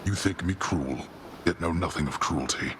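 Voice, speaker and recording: An actor playing a stern, bitter old god of the dead and father in a video game, speaking gravely and haltingly with a commanding manner. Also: low voice, a bit bright, slight background noise.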